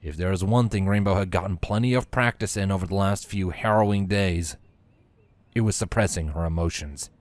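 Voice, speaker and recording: Low-voiced man narrating a story. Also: brisk, no room sound.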